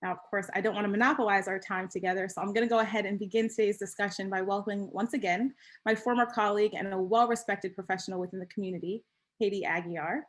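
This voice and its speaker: A woman's voice: mid-range voice, fairly even intonation, fast. A woman hosts and moderates a webinar conversation in a polished, welcoming, scripted manner. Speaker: host and moderator.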